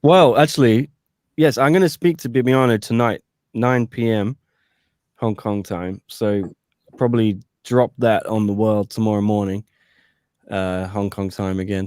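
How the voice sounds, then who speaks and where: A man's voice: medium pitch, a little mellow, smooth, no echo, clean studio sound. A man co-hosting a post-fight podcast as a sports reporter, chatting casually with jokey asides to listeners.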